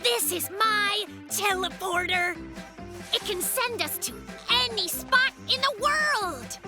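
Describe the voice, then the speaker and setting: An excitable young polar bear cub in a children's cartoon, a boyish and boastful little inventor. The voice is very high and swoops around, with unhurried delivery full of exclamations and slang. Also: exaggerated voice. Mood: gleeful, boastful. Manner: exuberant, exclamatory, youthful slang. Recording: children's cartoon music behind.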